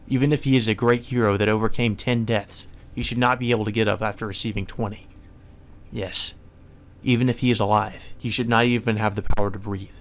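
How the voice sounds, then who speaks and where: A man reading a visual novel aloud as solemn, dramatic scripted narration. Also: on the low side. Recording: music behind the voice.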